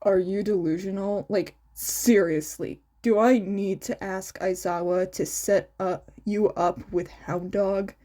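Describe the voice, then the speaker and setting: Storybook teenage boy, acted by a woman: deadpan, exasperated, dry, unhurried delivery. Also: medium pitch, pitched below the performer's own voice. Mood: irritated, sarcastic.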